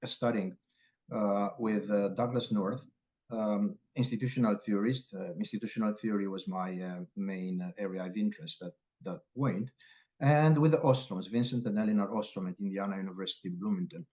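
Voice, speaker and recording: A middle-aged man from Romania with a Romanian accent, speaking haltingly with many filler pauses as a guest scholar in an interview. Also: lowish voice, a little mellow. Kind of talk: academic interview.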